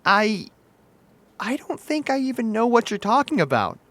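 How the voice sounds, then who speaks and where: A man's voice: very high voice, sing-song, normal speed, fairly bright tone, full character voice. An actor playing a flippant, bemused man in his twenties in an audio comedy, wry and incredulous. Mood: confused, awkward. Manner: wry, incredulous, hesitant when challenged. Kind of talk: audio drama comedy acting.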